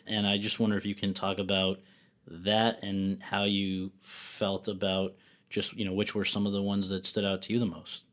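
Brief: male host, somewhat low voice, chatty, loose phrasing. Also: monotone, normal speed, fairly bright tone. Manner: friendly, rambling questions. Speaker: interviewer. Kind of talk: conversational interview.

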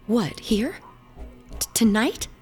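A woman's voice: fairly high pitch, animated, slow, faint music behind. A woman playing a seductive, unhappily married ghost hunter who schemes with her lover in an audio horror drama. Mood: nervous, needy.

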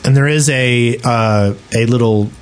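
A man's voice: mid-range voice, unhurried. A man co-hosting a podcast, talking casually and speculatively in an informal group discussion. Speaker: podcast co-host. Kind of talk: casual speculative discussion of a video game update.

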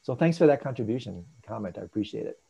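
A man hosts an online group call, talking casually with plenty of asides and jokes. His voice has a mellow, warm color. The audio comes through a dull, lo-fi video call connection. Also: fairly low pitch, quick pace, gravelly, slightly rough. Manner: animated, jokey, digressive. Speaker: host and meditation teacher. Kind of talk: casual teaching talk and Q&A.

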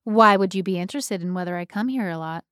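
A woman's voice: medium pitch, fast, a bit bright, smooth, dry recording, studio quality, voice pushed down, lightly acted. A woman reading the part of a blunt, skeptical, sardonic woman in an amateur stage play reading. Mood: guarded. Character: Betty, skeptical, blunt woman rebuffing a stranger's pickup.

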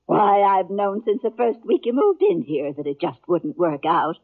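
An actress playing a brisk, no-nonsense older frontier aunt with a husky voice and tart, matter-of-fact delivery in 1950s radio western style. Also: female voice, mid-range voice, big pitch swings. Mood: brisk, knowing. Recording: muffled 1950s radio recording.

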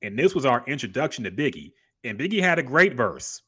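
A man's voice: medium pitch, big pitch swings, a little fast, pushed and bright, breathy edge. A man hosting a casual chat, ranting bluntly and profanely about an old rap verse. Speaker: podcast host.

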